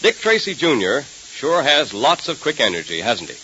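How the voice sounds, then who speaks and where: A man's voice: medium pitch, big pitch swings, normal speed, pushed and bright. An American man announcing and narrating an old 1930s radio serial in an emphatic, theatrical scripted style. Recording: hissy, muffled 1930s radio transcription.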